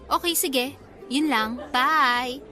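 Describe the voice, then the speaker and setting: A woman's voice: sits high, slow, pushed and bright, heavily acted. An actress playing a curt, bossy young woman student, an older sister, with a Filipino accent in Tagalog radio drama style. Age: young adult. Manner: curt, demanding. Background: background music.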